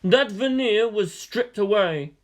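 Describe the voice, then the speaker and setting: A man voicing a grave, philosophical military teacher who lectures in measured rhetoric in a story reading. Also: sits high, lively intonation, pitched above the performer's own voice. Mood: grave, lecturing.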